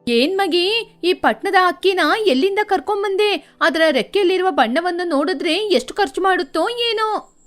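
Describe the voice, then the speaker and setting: Woman voicing a very high-pitched, chatty cartoon bird. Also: lively intonation, normal speed, soft background music. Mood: expressive, chatty. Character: female cartoon village birds, chatty and thrifty. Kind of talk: children's cartoon dialogue.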